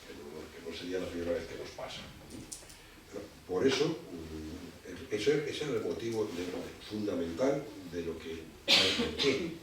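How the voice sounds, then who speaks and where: A man giving a public lecture. He talks in a halting way and often repeats words while he gathers his thoughts. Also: low-pitched, sing-song. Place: lecture hall. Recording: distant mic in an echoey hall with background noise.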